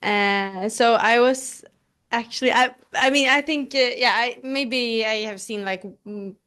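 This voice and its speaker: A young actress from Sweden answering interview questions hesitantly, with many fillers. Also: female voice, a little high, unhurried, a bit bright, clear, resonant tone. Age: young adult. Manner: hesitant, lots of fillers, laughs easily. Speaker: actress guest.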